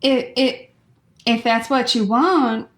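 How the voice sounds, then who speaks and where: A woman's voice: on the high side, slow pace, reverberant. A woman playing a kindly, anxious, hesitant friend in a comedy radio play. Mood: pleading, concerned. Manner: soft-hearted, fretful, hesitant. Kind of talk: comedy radio theatre acting.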